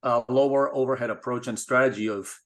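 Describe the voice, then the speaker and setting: Measured man from Spain. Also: mid-range voice, steady tone. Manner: measured, thoughtful, with frequent restarts. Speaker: interview guest, investor. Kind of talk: casual interview talk.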